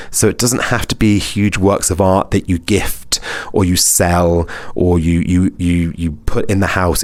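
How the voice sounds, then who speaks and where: A man's voice: fairly low pitch, a little mellow. A man with a British accent hosting a wellness podcast, talking in a casual, chatty, rambling and informal way. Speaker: podcast host.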